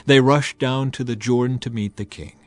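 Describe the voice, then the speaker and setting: A man's voice: mid-range voice, fairly even intonation, normal speed. A man narrating scripture as a scripted, dramatized audio reading. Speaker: Bible narrator.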